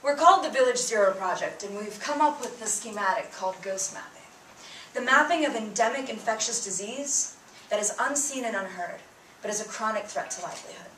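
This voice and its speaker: A woman's voice: medium pitch, lively intonation, a little fast, fairly bright tone, slight background noise. A young woman student, a native Bengali speaker, giving a prepared public talk in an earnest, emphatic way, lifting her voice at the start of each point. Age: young adult. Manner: earnest, emphatic, rising on openers. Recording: roomy sound, mic at a distance.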